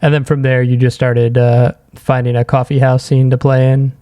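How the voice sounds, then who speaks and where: A man with a mellow, relaxed tone and mid-range pitch. He works as a podcast host, chatting casually and easygoing as he moves from brief acknowledgements to questions. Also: normal speed. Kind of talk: casual interview chat.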